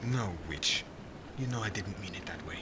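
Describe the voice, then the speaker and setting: Man acting a low, brooding witch hunter. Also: lively intonation, heavy background noise. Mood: stern, guarded. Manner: breathy half-whispers, menacing, deliberate. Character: stern, brooding young male witch hunter, captor aboard ship. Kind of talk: intimate ASMR fantasy roleplay. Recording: loud rain, thunder and sea ambience.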